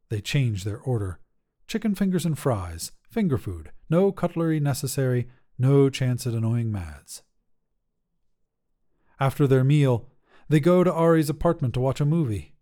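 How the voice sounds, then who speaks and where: Slow, mellow male story narrator. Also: mid-range voice, sing-song. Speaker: narrator of a fiction podcast. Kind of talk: scripted short story narration.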